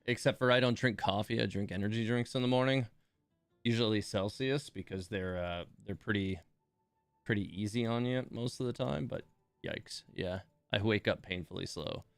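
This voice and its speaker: A man hosting a game livestream, reacting casually in a rambling, hesitant way with drawn-out emphasis. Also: lowish voice, vocal fry, a bit bright, clean tone, no room sound, faint music behind. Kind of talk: casual live commentary reacting to game voice lines.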